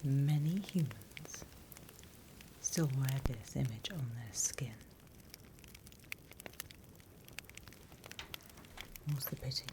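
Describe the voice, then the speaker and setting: A British woman voicing a prim demon teacher in a soft, deliberate whispered ASMR roleplay. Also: a bass voice, background noise. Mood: softly ominous. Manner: soft, deliberate, instructional, with pauses. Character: female demon teacher, softly menacing, encouraging, prim.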